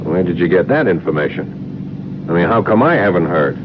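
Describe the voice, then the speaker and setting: A tough American government investigator in a 1970s radio drama. He speaks low and with animated, sardonic swings, stubborn and punchy. The recording is muffled, like old broadcast tape. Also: moderate pace, background noise. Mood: wary sarcasm.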